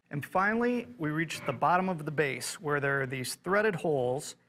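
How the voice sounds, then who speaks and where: A man presents a product to camera in a conversational, emphatic way. His voice sits a little high for a man and has a noticeable gravelly fry. He sounds enthusiastic as he explains each feature. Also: a bit bright, slight background noise. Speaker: product demonstrator. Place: indoor woodworking shop.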